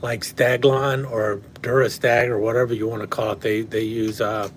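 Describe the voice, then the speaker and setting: A man hosting a casual livestream and rambling with lots of fillers and restarts, his voice slightly hoarse from talking. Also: mid-range voice, flat delivery, normal speed, slight background noise. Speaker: knife collector livestream host. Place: at home indoors.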